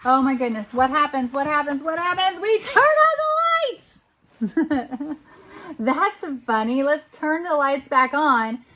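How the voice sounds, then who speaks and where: High-voiced woman leading children's storytime, slow-paced. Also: sing-song, sharp tone. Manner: encouraging, exclamatory, drawn-out delivery to a young child. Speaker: schoolteacher reading a picture book aloud to a child. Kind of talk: interactive children's storytime read-aloud.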